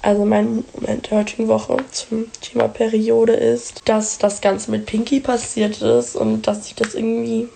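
A hoarse woman leaving an earnest, opinionated voice message for a podcast. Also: a little high, flat delivery, moderate pace, few pauses, warm tone, slight background noise. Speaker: listener sending a voice message. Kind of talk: recorded voice message.

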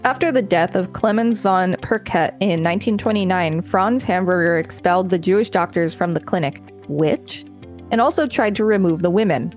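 A woman's voice: on the low side, normal speed, faint music behind. A woman co-hosting a podcast, reading an article aloud steadily, with playful quips.

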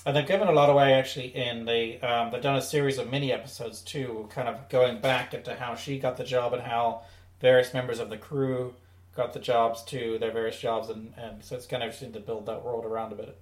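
A man's voice: medium pitch, little pitch movement, fairly quick. A man co-hosting a podcast, chatting casually and giving his recommendations. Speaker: podcast co-host. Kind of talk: casual chat reviewing audio dramas. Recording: roomy, echoey indoor sound.

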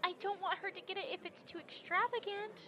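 A woman's voice: very high voice, fairly even intonation, fast talker, muffled recording, crowd noise. An actress playing a scatterbrained, guileless young female physicist in an audio drama, with a hesitant, rambling delivery. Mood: unsure.

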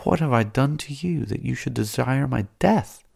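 A man's voice: medium pitch, very animated, normal speed, vocal fry, voice pushed up. A man voicing a proud young priestess in an audiobook, pleading and accusing by turns. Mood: plaintive. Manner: pleading, then accusing and bitter. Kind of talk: audiobook character voice.

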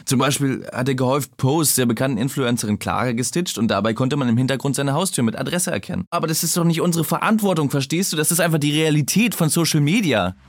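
A man's voice: medium pitch, fast, few pauses, dry recording, clean studio sound, natural voice. An actor playing a self-assured, ambitious male journalist who sounds glib and condescending in an interview. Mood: dismissive. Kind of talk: acted interview in a scripted audio drama.

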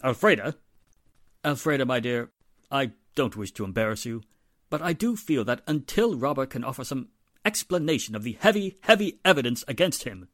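A man's voice: mid-range voice, expressive, a bit bright, dry recording, studio quality, close to an everyday voice. An actor playing a haughty, formal, wealthy father in a 1940s-style radio mystery. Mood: concerned, formal. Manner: formal, pompous, measured. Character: polished, haughty wealthy father, secretly guilty.

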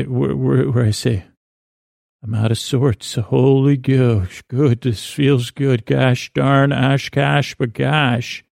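Creaky-voiced man rambling a bedtime monologue. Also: mid-range voice, darkish tone, no echo, studio quality. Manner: rambling, halting, circling back on himself; lulling, soothing tones. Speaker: podcast host. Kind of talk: meandering bedtime monologue to help listeners sleep.

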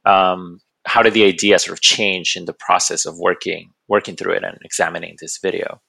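A man speaking as the host of an academic podcast interview, in a measured, formal register. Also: deep voice, level tone, creaky, pushed and bright, slightly rough. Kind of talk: podcast interview with scripted introduction.

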